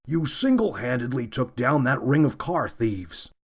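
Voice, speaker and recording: A man's voice: mid-range voice, very animated, measured pace, slightly rough. A man voicing a jovial, blustering police chief in a read-aloud story. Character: blustering middle-aged male police chief. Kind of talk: storybook character voice.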